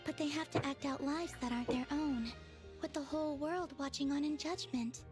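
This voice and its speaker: Woman acting as wistful young maiden, high gentle voice, serene, musing, anime game, background music. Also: fairly even intonation, normal speed, natural voice. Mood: wistful, curious.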